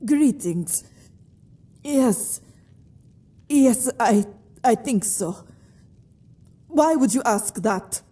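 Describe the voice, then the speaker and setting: A woman voicing a guarded, wry traveler from foreign parts in a fantasy game, reserved, measured and dryly amused. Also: fairly high pitch, animated, warm tone, slightly rough, full character voice. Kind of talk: fantasy game character dialogue.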